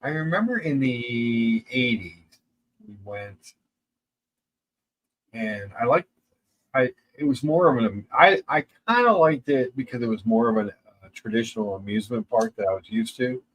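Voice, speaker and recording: A man's voice: medium pitch, expressive, moderate pace, rough recording. A middle-aged man co-hosting a casual chat, reading listener questions aloud and musing with some hesitant restarts. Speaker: co-host.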